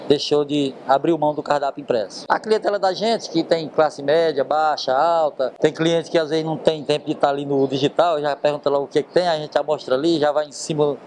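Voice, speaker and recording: A man's voice: a little high, fairly even intonation, a little fast, hardly any pauses, a little mellow, a little hiss or hum. A middle-aged man from Piauí, Brazil, who owns a restaurant, answering casually and hesitantly with many fillers. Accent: Brazilian Portuguese, Piauí. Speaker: restaurant owner. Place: indoor restaurant.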